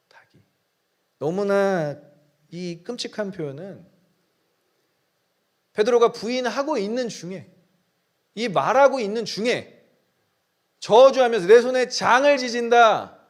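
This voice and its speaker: Dramatic Korean pastor preaching. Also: male voice, a little high. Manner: dramatic preaching, swings from hushed asides to loud emphatic lines; reads scripture aloud with slow, stressed delivery. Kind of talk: sermon.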